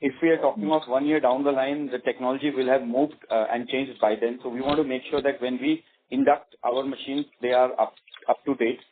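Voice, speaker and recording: A man with an Indian accent answers on an earnings call as a company executive, explaining in a halting way. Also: medium pitch, fairly even intonation, a little hiss or hum. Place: conference call. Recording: tinny phone-like conference line.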